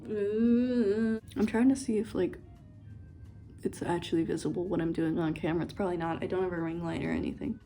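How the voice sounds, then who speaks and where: Chatty mellow-voiced young woman. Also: lowish voice, fairly even intonation, fairly quick, soft background music. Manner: chatty, rambling, playful. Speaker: beauty vlogger. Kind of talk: casual get-ready-with-me chat. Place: dorm room, indoors.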